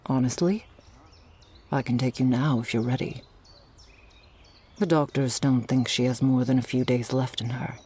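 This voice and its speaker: A woman acting an earnest, world-weary orca girl in an audio roleplay, with a gentle, measured delivery. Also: extremely low pitch, steady tone, slightly rough. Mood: somber.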